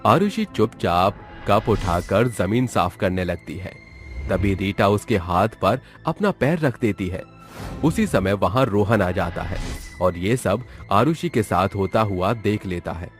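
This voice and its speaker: A man's voice: lowish voice, lively intonation, unhurried, faint music behind. A man narrating a scripted cartoon story as the storyteller.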